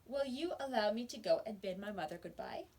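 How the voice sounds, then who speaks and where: A woman reading the part of a calm, unbothered brother in a children's storybook. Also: mid-range voice, a little fast. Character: calm man in a fire, unbothered.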